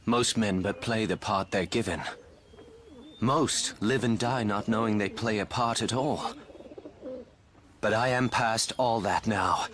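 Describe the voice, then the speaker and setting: Brooding, low-voiced young knight. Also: male voice, fairly bright tone. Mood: bitter. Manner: measured, theatrical, formal archaic diction. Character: brooding, cynical young knight with guarded resolve. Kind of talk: dramatic video game cutscene acting. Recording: music playing behind the voice.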